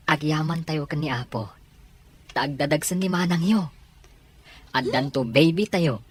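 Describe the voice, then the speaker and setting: A woman acts out a kindly, devout older brother in an audio drama. The voice is low and husky, grateful and encouraging in manner. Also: slow pace, full character voice. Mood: warm, grateful. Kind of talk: Ilocano audio drama acting.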